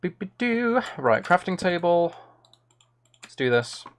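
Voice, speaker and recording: A man's voice: high voice, big pitch swings, takes it slowly, a little hiss or hum. A young man chatting casually while he streams a game, rambling and self-deprecating into a close mic. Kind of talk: casual live-stream chat while gaming.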